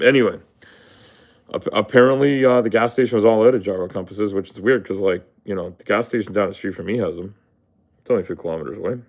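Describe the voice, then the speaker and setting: A man hosting a live stream, ranting casually, rambling and swearing often. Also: on the low side. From Canada. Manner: rambling, profane, full of fillers. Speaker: livestream host. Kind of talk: casual livestream rant.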